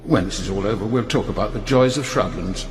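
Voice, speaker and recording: An actor playing a genial, authoritative older spy chief in a radio drama, clipped and dryly cheerful. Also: male voice, lowish voice, fairly quick, lightly acted. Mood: jovial. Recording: echoey long reverb, faint music.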